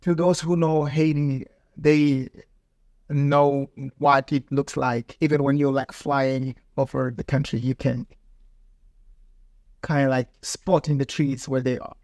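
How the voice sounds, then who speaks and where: A man's voice: medium pitch, flat delivery, darkish tone, no echo. Earnest man, dry close sound. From Mozambique. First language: Ndau. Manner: halting, earnest, pauses to search for words. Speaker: guest, farmer and peace builder. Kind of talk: casual interview talk.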